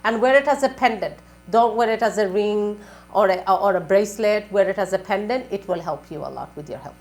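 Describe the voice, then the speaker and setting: A woman's voice: medium pitch, fairly quick, faint music behind. A woman working as a spiritual advisor on a live call-in show, giving advice in a repetitive, questioning way.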